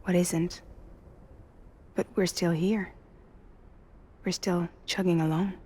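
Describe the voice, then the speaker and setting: A woman playing a resilient, warm-hearted delivery company boss in a video game drama, speaking earnestly and intimately. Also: on the low side, fairly even intonation, slow pace, breathy edge. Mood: encouraging. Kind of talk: cinematic video game acting. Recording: music under the voice.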